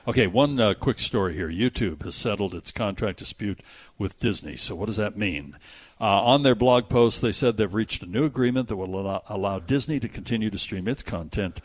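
Male movie-show host, lower register, conversational delivery of entertainment news with off-the-cuff asides. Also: animated, a little fast. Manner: conversational, reads news items aloud with asides. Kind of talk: casual movie and TV news chat.

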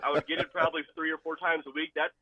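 A man's voice: fairly high pitch, flat delivery, very fast, bright, forceful tone, no room sound. A man calling in to a radio show over the telephone, chatting casually with enthusiastic, emphatic delivery. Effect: telephone line. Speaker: radio phone-in caller. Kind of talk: casual, chatty call-in talk. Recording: muffled, narrow telephone line.

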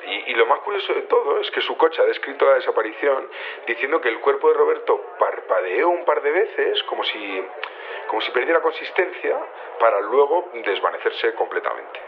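An actor playing a nonchalant security chief on the telephone in a radio drama, talking colloquially and a little hesitantly. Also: male voice, medium pitch, sing-song, moderate pace, slight background noise. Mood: casual, matter-of-fact. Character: casual, unbothered male security chief phoning a report. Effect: telephone filter. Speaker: head of security. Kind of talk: phone interview in a radio drama. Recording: thin, narrow phone line.

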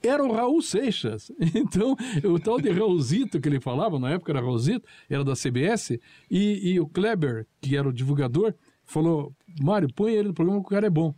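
A man's voice: on the high side, expressive, a little fast, few pauses, warm tone, dry recording. An older man from Paraná, a former TV producer recalling old anecdotes and acting out the dialogue. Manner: storytelling, acts out quoted dialogue. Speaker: guest, former TV show producer. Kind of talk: anecdotal recollection.

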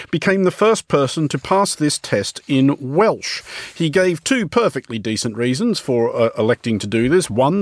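A man's voice: medium pitch, dry recording. A male radio presenter reads a dry, wry introduction from the studio. His close microphone gives a dead, dry sound, and his delivery stays articulate and understated.

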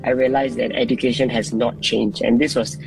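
A man's voice: medium pitch, level tone, a little fast, creaky, rough recording. A man, explaining his work as a guest in a radio interview. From Singapore. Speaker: coach, phone-in guest. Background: music bed.

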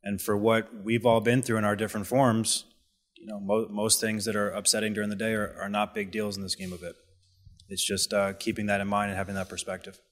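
Male podcast guest, lower register, composed and reflective, steady delivery. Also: a little fast. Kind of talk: casual podcast interview.

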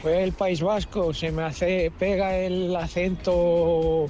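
Male listener voice message, Spain accent, notably high voice, measured phrasing, vehicle and faint music behind. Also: level tone, dark tone. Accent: Spanish (Spain).

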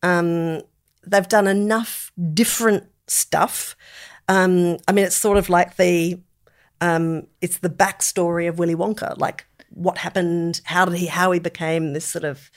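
A woman in middle age with a slightly low voice talks in a casual, conversational way. She sounds like a podcast co-host, choosing expressive, descriptive words as she goes. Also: measured pace. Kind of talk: casual chat about films.

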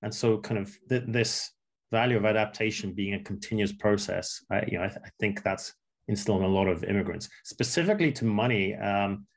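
A man in his thirties, raised in England after an early childhood in Russia, answering interview questions in a thoughtful, halting way with many fillers and restarts. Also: fairly low pitch. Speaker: entrepreneur guest.

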